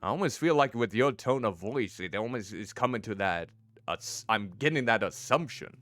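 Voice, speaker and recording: A man streaming a story game as a VTuber, chatting with his viewers in a playful, teasing way with a deep voice. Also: animated, normal speed, a bit bright. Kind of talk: casual gameplay commentary.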